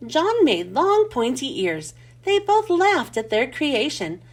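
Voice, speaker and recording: A woman reading a children's storybook aloud as host, with expressive, clearly enunciated delivery. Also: high voice, slow pace.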